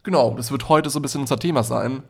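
A man's voice: medium pitch, quick pace, vocal fry, husky. A nineteen-year-old man from Germany, co-hosting a podcast in a rambling, joking casual chat. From Nuremberg, Germany. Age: young adult, 19. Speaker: podcast co-host.

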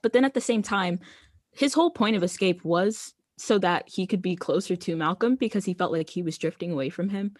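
A young woman co-hosting a podcast, chatting in a relaxed, conversational way about a TV episode. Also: fairly low pitch, a little fast, no room sound, clean studio sound. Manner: relaxed, conversational, many fillers. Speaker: podcast co-host. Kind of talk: casual chat recapping a TV episode.

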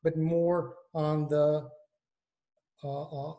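Israeli guy in his 40s on a video call, lots of uh and restarts, voice a bit on the high side. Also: level tone, extremely slow, warm tone. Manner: hesitant, many fillers and restarts. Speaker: guest, engineering manager. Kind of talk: casual video-call interview.

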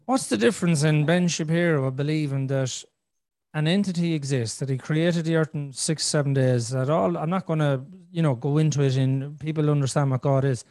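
A man hosts a casual podcast, working his points out aloud with restarts and lots of "you know". His voice sits a little higher than most men's. The recording is dry and close, with no room sound. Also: moderate pace, a little mellow, no echo. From Ireland. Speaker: podcast host. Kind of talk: casual conversational podcast chat.

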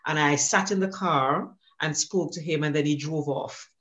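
A middle-aged woman from Jamaica with a Jamaican accent, a guest on an interview podcast who tells stories about her teaching career in a warm, animated way and laughs often. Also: deep voice, normal speed. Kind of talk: conversational storytelling.

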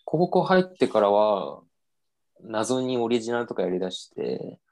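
A young man with a somewhat low voice tells a story in a relaxed, self-mocking way. His speech is full of casual fillers and easygoing chat. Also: normal speed, poor audio. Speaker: podcast host telling his story.

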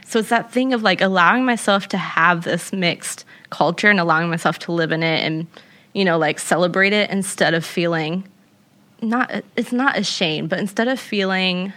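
A young woman talks through her thoughts in a relaxed conversation, circling back with fillers as she goes. Her voice is bright and forward, set a little low. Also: moderate pace. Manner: rambling and reflective, many fillers. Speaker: guest. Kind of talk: casual conversation.

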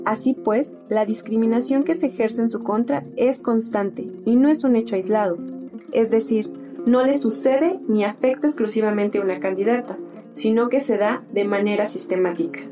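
A woman with a fairly high voice reads a formal informational script. Her delivery is clear and deliberate. Plucked guitar music plays clearly underneath. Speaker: narrator.

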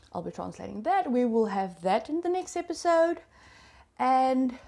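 A woman's voice: high voice, mellow. Chatty South African woman podcaster. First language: Afrikaans. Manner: chatty and confiding, addresses listeners as guys. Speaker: podcast host. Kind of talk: true crime storytelling.

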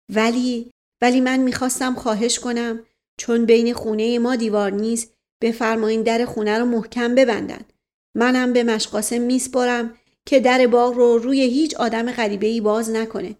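A woman's voice: on the high side, darkish tone, lightly acted. Woman reading as a courteous, resigned family man. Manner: polite, pleading, proverb-quoting.

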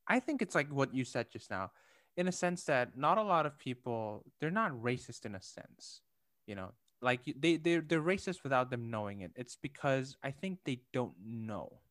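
A young man from Indonesia talks things through in a relaxed conversation, pausing with fillers and restarting his sentences. His voice is smooth and resonant. It is recorded dry and close, with no room sound. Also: mid-range voice, no room sound. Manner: thoughtful, hesitant, many fillers and restarts, laughs lightly. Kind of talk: casual conversation.

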